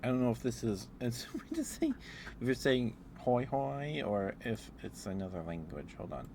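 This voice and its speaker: A man's voice: mid-range voice, sing-song, normal speed, darkish tone. A man doing a live ASMR stream, chatting to viewers in an affectionate, apologetic, rambling way. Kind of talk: ASMR livestream chat with viewers. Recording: clear background noise, keyboard typing.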